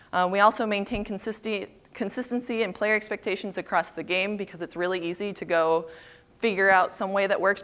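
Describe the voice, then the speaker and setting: A woman giving a brisk, practical conference talk about design work, with a few restarts. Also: lowish voice, a bit bright. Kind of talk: presentation talk. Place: conference stage.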